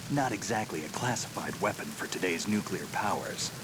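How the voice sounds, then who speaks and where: A gruff middle-aged stealth soldier in a video game, low-pitched and clipped. He keeps every line short, dry and understated over a radio call. Heavy rain noise sits behind him. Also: expressive, normal speed.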